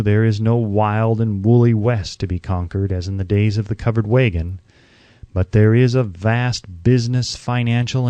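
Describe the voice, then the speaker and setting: A man's voice: lowish voice, dark tone, no echo. Man reading an audiobook, dry close sound. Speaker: audiobook narrator. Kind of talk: scripted audiobook narration of a self-help book.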